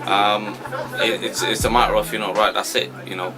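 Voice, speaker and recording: Earnest low-voiced man in his forties. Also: level tone, normal speed, over music, a crowd in the background. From Trinidad. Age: 40s. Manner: earnest, rueful, full of fillers. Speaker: shop owner. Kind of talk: interview answers.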